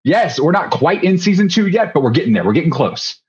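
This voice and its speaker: A man's voice: a little high, very animated, fairly quick, muffled recording. A man, hosting a casual interview over a video call. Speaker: host. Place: small home room, video call.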